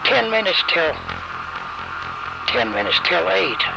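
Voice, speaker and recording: Creaky older Kentucky man reminiscing. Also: high voice, low-quality recording. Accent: rural Kentucky. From Kentucky, USA. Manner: plainspoken, halting, folksy storytelling. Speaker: eyewitness interviewee, war veteran. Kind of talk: unscripted personal reminiscence. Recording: radio interview over music bed. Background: background music.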